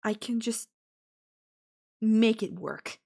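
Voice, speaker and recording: A woman playing an obsessive, possessive girlfriend in an intimate roleplay audio drama, sweet but menacing, with breathy cooing. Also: on the high side, fairly even intonation, slow pace, a little mellow, exaggerated voice. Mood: scheming, menacing. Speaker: voice actor.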